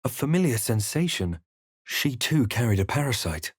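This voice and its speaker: A man playing a solemn, thoughtful adventurer hero in a fantasy video game, speaking in measured, dramatic lines. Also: medium pitch, big pitch swings, husky, no echo, clean studio sound. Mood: solemn narration. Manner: measured, dramatic, literary delivery. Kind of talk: scripted game narration and character lines.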